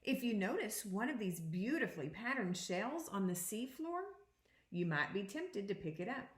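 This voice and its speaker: A woman teacher giving an interactive read-aloud for young children, reading deliberately and pausing to put questions to her listeners. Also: mid-range voice, very animated, roomy sound. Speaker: second grade teacher.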